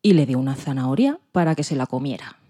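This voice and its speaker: A woman's voice: very deep voice, lively intonation, fast, warm tone, slight background noise. A woman with a Spain accent narrating a dramatized children's story expressively. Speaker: podcast presenter and story narrator.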